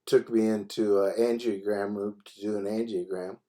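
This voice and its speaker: An older man with a low, soft and very mellow voice. He tells his stories casually over the phone, in blunt and salty language. Chuckles tend to creep into his way of talking. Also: fairly even intonation, normal speed. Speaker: phone call participant recounting his medical history. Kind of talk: casual chat, anecdotal storytelling. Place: phone conversation.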